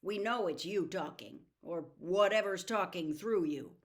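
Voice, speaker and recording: A woman's voice: lowish voice, expressive, a little slow. A woman voicing a determined athletic teenage boy in an audiobook, speaking in a forthright, challenging way. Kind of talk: audiobook character voice.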